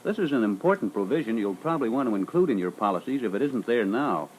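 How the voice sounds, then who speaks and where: A man's voice: medium pitch, expressive, quick pace, natural voice. A man playing a polite, businesslike and persistent life insurance salesman in a scripted phone-call role-play. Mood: courteous, persistent. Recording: noisy old reel-to-reel training record.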